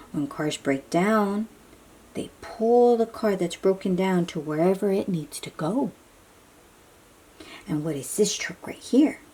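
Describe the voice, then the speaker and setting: A woman's voice: fairly low pitch, dark tone, breathy edge. A woman reading a picture book aloud for young children, explaining in simple phrases and putting questions to the listener.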